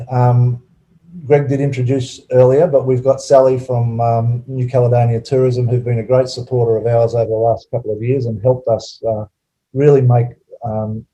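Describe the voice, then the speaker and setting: A man hosting an online webinar, speaking haltingly with many fillers and restarts over a video call mic. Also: medium pitch, dark tone. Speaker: webinar host. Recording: dull lo-fi webinar audio.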